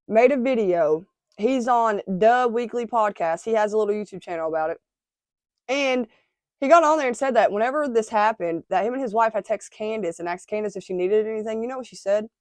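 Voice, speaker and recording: A woman's voice: a little high, animated, moderate pace, darkish tone, no echo. Congested woman doing true-crime commentary. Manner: emphatic, repeats phrases. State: congested after illness. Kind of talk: casual commentary.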